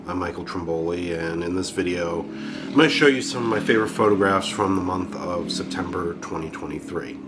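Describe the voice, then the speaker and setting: A man's voice: low-pitched, fairly quick, background noise. A man with a cold, hosting his own photography channel and chatting loosely through his month, with fillers and restarts. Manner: relaxed, rambling, with frequent fillers and restarts. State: has a cold. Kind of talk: casual monthly photo recap.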